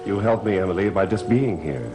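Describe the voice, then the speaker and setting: An actor playing a brooding, troubled master of the house in a gothic soap, earnest and restrained. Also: male voice, sits low, lively intonation, fairly quick, music in the background. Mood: polite, gentle. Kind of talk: gothic soap opera acting.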